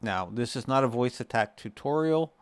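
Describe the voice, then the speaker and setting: A man hosting a gaming guide, narrating a casual tutorial in a measured, explanatory way with short pauses between phrases. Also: mid-range voice, lively intonation, smooth, no room sound. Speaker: host of a gaming guide video series.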